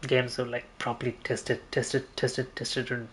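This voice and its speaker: A man's voice: mid-range voice, monotone, measured pace, a bit bright, a little hiss or hum. A man giving casual gameplay commentary as a streamer, rambling and hesitant, often repeating himself. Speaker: game streamer.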